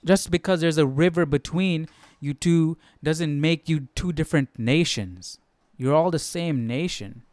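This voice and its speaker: A man with a smooth, clear voice talks casually as the host of a study session. His pitch sits a little high, and the recording is close and dry. He thinks aloud conversationally, often repeating phrases as he questions things. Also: unhurried, hardly any pauses, darkish tone, no echo. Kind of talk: casual off-the-cuff commentary.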